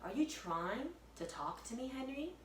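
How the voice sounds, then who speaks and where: A woman's voice: high voice, big pitch swings, normal speed, voice pushed up. A woman voicing a fearless, friendly young girl who loves animals, eager and insistent, in a children's storybook. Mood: curious. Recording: echoey room, distant mic, faint noise.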